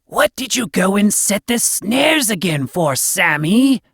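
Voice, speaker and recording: A man voicing a female snake-woman, a sly and menacing mother with drawn-out, threatening politeness. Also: high-pitched, sing-song, creaky, fairly bright tone, breathy edge, no room sound, clean studio sound, voice pushed up, exaggerated voice. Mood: scolding.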